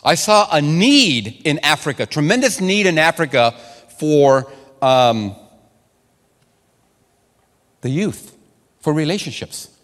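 A man's voice: fairly high pitch, lively intonation, slow pace, pauses often, a bit bright, big-room sound. A man whose first language is Spanish, originally from Mexico, preaching a sermon and telling stories with lots of repeated words and restarts. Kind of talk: sermon to a congregation.

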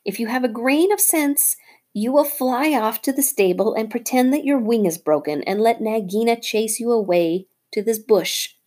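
Woman doing a brisk, impatient mongoose hero. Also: a little high, voice pushed up, lightly acted. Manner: brisk, sharp, commanding. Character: brave, impatient young male mongoose.

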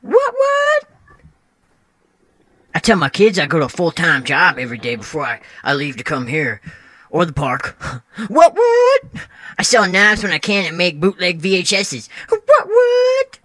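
A man acts out a sketchy comic dad with a high, gravelly voice that swoops wildly. He is jittery and erratic, drawing words out and then squawking, recorded close and dry. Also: wildly swooping pitch, measured pace, bright, forceful tone, dry recording, heavily acted. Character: sketchy, jittery confessing dad selling knives and bootlegs. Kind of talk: comedy sketch acting.

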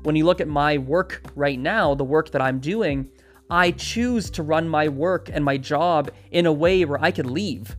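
A man's voice: on the high side, measured pace, faint music behind. A man hosting a solo podcast, talking in an upbeat, chatty and rambling way with restarts. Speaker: podcast host. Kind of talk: solo podcast advice talk.

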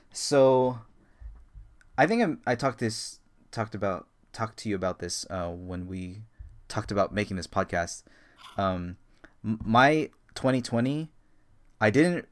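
A man's voice: fairly low pitch, lively intonation, normal speed. A man in his thirties co-hosting a casual podcast, rambling and enthusiastic, with many fillers and restarts. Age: 30s. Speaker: podcast co-host.

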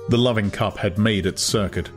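A man narrating an audiobook in a measured, dramatic style. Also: on the low side, fairly even intonation, soft background music.

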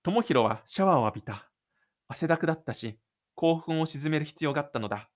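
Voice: medium pitch, animated, a little fast. A narrator reading scripted third-person storytelling for an audio drama.

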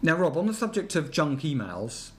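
Higher-voiced man, amused and mocking. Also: very animated, normal speed, slight background noise. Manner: amused, mocking asides while reading. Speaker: podcast co-host. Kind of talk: casual comedic chat, reading out emails.

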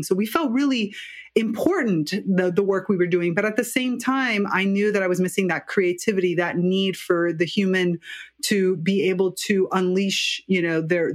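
American woman telling her story. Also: mid-range voice. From United States. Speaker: guest. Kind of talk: conversational interview storytelling.

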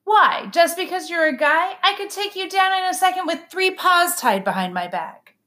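A woman voicing a feisty young female dog who bickers with her brother, with sassy, quick retorts in a storybook reading. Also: very high voice, animated, sharp tone, pitched above the performer's own voice, full character voice. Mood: defiant.

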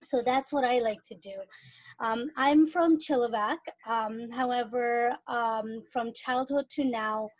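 A woman's voice: fairly high pitch, takes it slowly, no room sound, rough recording. A young adult woman from Iran, a guest on a podcast, talking casually and thoughtfully with frequent fillers. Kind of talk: casual interview talk.

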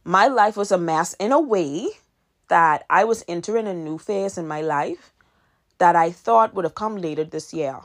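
A woman's voice: fairly low pitch, normal speed. A woman in her twenties hosting a podcast, giving earnest advice in a casual monologue and repeating her key points for emphasis. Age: 20s. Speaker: podcast host and author.

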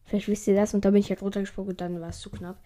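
A young woman chatting casually through mobile game challenges, a little hoarse, her voice often climbing high and cracking. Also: little pitch movement, very fast, mellow. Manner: voice keeps rising high at phrase ends; chatty, swears casually when she fails. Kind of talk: casual gameplay commentary.

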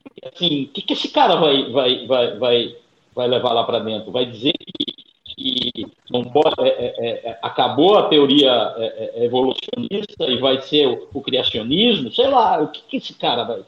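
A man with a Brazilian accent speaking as a panelist in a political discussion, haltingly, often repeating his words. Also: medium pitch, expressive. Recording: dull, noisy, echoey room with clicks.

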